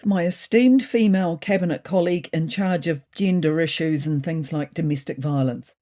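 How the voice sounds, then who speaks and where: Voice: mid-range voice, moderate pace, mellow, natural voice. A performer voicing a self-important, eager cat politician in an audio storybook. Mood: flustered bluster. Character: pompous, eager young cat politician, newly made leader.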